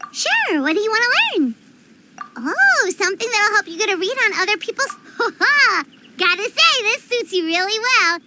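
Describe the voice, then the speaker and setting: A woman's voice: very high voice, heavy background noise. A woman voicing a tiny, chirpy female game companion who talks of herself in the third person. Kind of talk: game voice acting.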